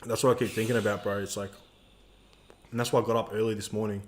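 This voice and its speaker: A man's voice: fairly low pitch, flat delivery, fast talker, a little hiss or hum. A young man co-hosting a live Q&A stream, chatting in a laid-back, slangy way. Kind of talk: casual live-stream chat.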